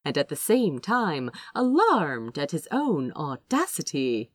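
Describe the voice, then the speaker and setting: Female audiobook reader, lowish voice, measured pace, expressive storytelling with lively melody. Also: no echo, clean studio sound. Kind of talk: expressive scripted story narration.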